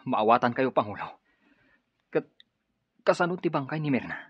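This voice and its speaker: A man's voice: mid-range voice, lively intonation, normal speed, husky, no room sound, highs cut off. An actor with a Filipino accent playing an obedient, subdued rebel henchman in a radio drama. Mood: deferential.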